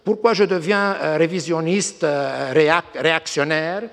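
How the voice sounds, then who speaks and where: A man's voice: on the high side, fairly even intonation, a little slow, gravelly, fairly bright tone. Older man in a reverberant hall. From Lebanon. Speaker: diplomat giving a talk. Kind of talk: unscripted geopolitical lecture with anecdotes.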